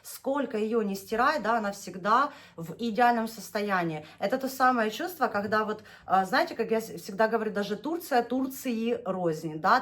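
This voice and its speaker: A young woman talks through a yarn unboxing for her knitting channel in a relaxed, chatty way. Her voice sits at an ordinary middle pitch. She gushes, laughs easily and stretches out her words as she lingers over each shade. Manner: chatty and gushing, laughs often, drawls when naming shades. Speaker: knitting vlogger showing yarn. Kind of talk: casual unboxing chat.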